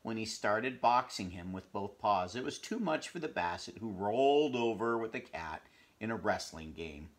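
A man in his fifties reads a children's story aloud. His fairly low voice swings up and down expressively as he tells it, recorded in a small room at home. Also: normal speed. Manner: animated storytelling with asides to the listener. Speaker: storybook reader.

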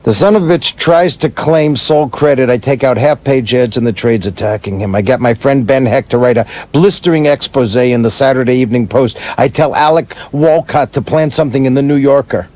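An actor playing a blunt, sardonic middle-aged screenwriter who likes a drink, in a slangy radio play style. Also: male voice, mid-range voice, brisk, few pauses, darkish tone, natural voice.

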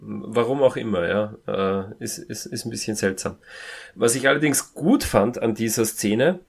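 A man in a casual podcast discussion, speaking haltingly as he searches for his words. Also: fairly low pitch, gravelly, husky.

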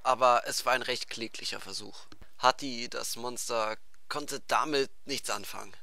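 A man's voice: medium pitch, steady tone, normal speed, creaky, bright, forceful tone. A man narrating a comic fantasy tale in a theatrical, emphatic scripted style. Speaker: storyteller.

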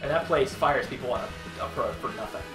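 Young man, fast, rambling co-host with casual swearing, roomy sound with game music underneath. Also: mid-range voice. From Wisconsin, USA. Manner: rambling storyteller, swears freely, restarts often. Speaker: co-host of a gaming show. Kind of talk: casual commentary chat over gameplay.

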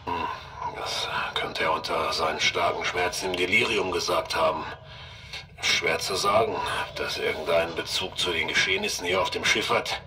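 A sci-fi audio drama doctor acted by a man with a very low voice. He is calm and deliberate, weighing things in a matter-of-fact way. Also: over music, close to an everyday voice. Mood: calm, pondering. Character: calm, measured male ship's doctor. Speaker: ship's doctor.

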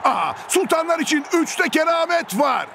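A man's voice: very high voice, sing-song, moderate pace, gravelly, very noisy, heavily acted. A man voicing a frantic, theatrical football commentator in a cartoon, full of exclamations. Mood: thrilled. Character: frantic, over-excited male football commentator. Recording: loud music behind.

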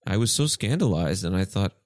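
A man's voice: low-pitched, expressive, a little fast, no room sound, clean studio sound. A man in his twenties on a casual podcast, telling a story in a thoughtful, explanatory way. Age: 20s. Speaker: podcast co-host.

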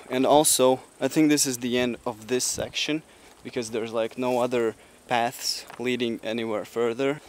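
A young man talking casually to a handheld camera as he walks outdoors, sounding uneasy and halting, swearing as he goes. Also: mid-range voice. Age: young adult. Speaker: vlogger. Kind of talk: casual solo exploration vlog. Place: outdoors in woods, walking. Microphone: handheld camera at arm's length. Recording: noisy outdoor handheld recording.

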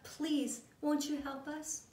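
A woman voicing a cheerful little toy clown who pleads in a high, eager voice. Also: little pitch movement, slow pace, warm tone, roomy sound, recorded from a distance. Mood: pleading.

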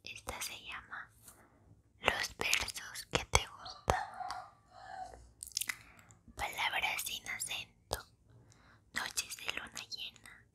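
Young woman whispering slow, soothing ASMR. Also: extremely low pitch, completely flat delivery, sharp tone. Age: 20s. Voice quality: breathy unvoiced whisper. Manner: slow, soothing, deliberate pauses. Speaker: ASMR host. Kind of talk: whispered ASMR poetry reading and chat. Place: small room at home, indoors. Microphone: large foam-covered microphone very close to mouth.

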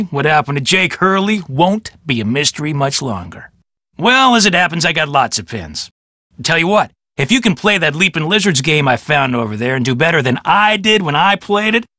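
A celebrity police detective for an adventure game. His voice sits fairly high and is forceful and bright, recorded dry. He is cocky, glib and condescending, forever boasting. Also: no room sound, exaggerated voice. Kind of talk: video game character dialogue.

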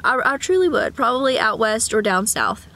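A woman's voice: fairly high pitch, big pitch swings, moderate pace, pushed and bright. A young woman with a cough, chatting casually to the camera from a parked car, rambling with sing-song asides. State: sick with a cough. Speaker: vlogger. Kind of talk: casual chat. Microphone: camera at arm's length.

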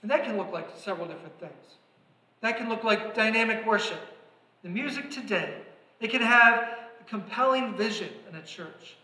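A middle-aged man preaches from the pulpit with a high, bright, forceful voice. He is earnest and swells into emphatic calls to his listeners. The room adds a roomy sanctuary echo. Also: moderate pace. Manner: earnest, rising to emphatic exhortation, gesturing. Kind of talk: sermon. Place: large church sanctuary, at a pulpit. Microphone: pulpit mic. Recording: echoey sanctuary reverb.

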